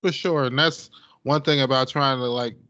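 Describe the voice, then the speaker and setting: A man's voice: mid-range voice, steady tone, moderate pace, bright, forceful tone, no echo. A man hosting a podcast, asking questions in a loose, casual way full of "like" and "stuff like that". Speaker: podcast host. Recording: dull lo-fi sound.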